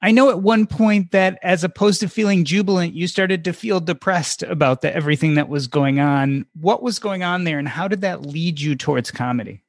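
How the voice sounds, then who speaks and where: Higher-pitched male podcast host. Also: normal speed, hardly any pauses, dry recording, clean studio sound. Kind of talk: interviewer asking questions.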